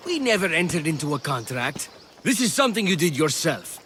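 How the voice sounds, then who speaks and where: A man's voice: on the high side, big pitch swings, fairly quick, a bit bright, a little hiss or hum. An actor playing an earnest, formal male Spartan soldier handing out orders in a video game. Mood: formal, assured. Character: male Spartan soldier, urgent, grudging quest giver. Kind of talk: dramatic game cutscene acting.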